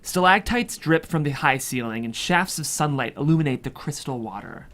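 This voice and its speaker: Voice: medium pitch, lively intonation, normal speed, a bit bright. A narrator reading screenplay scene headings and action lines at a table read. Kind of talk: scripted narration of screenplay stage directions.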